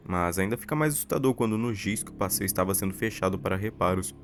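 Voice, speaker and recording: A man with a fairly low voice and a Brazilian accent narrates a scripted horror explainer. He tells it as a story, with faint music running behind his words. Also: expressive, fast talker.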